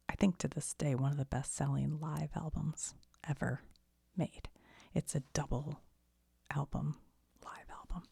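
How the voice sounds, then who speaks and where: A woman giving a soft-spoken, mostly whispered ASMR ramble, breathy and conversational, tentative and often trailing off. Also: low voice, no room sound. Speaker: ASMR video host.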